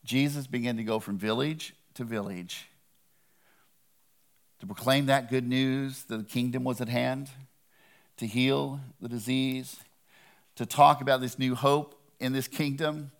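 A man's voice: mid-range voice, steady tone, unhurried, long pauses. Conversational man preaching a sermon. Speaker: guest preacher.

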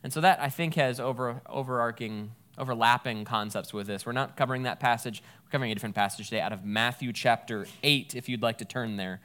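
A man's voice: mid-range voice, quick pace, hardly any pauses, a bit bright. A man, a pastor giving a conversational sermon and reading scripture aloud. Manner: conversational, explains Greek words with a folksy aside.

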